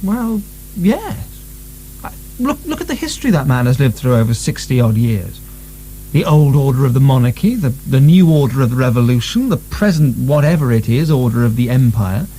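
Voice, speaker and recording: Actor as a mellow, sceptical asylum deputy. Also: male voice, medium pitch, sing-song, normal speed, natural voice, rough recording. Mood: sober. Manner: polite, reasoned, doubting. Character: sceptical, deferential male asylum deputy, coolly rational. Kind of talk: radio drama acting. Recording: soft recording with faint hum.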